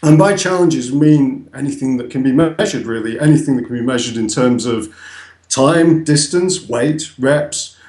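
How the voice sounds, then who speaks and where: A man's voice: medium pitch, moderate pace, darkish tone. Man, Northern English accent, young adult, hesitant, many ums, echoey room. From north of England. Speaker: guest, website co-founder. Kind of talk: casual interview chat.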